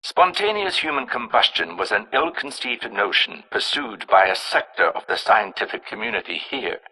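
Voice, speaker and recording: A learned, disdainful keeper of dark secrets in a video game. His voice is raspy and creaky, with widely swinging intonation. He speaks formally and precisely, with wry distaste. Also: mid-range voice, full character voice. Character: erudite, disdainful male insider revealing dark secrets. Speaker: informant character in a video game. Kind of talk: scripted confessional monologue.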